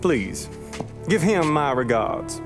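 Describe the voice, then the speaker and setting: An actor playing a courteous, folksy and slightly grumbling bootlegger in a crime game. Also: male voice, fairly high pitch, sing-song, takes it slowly, music in the background. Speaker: video game character.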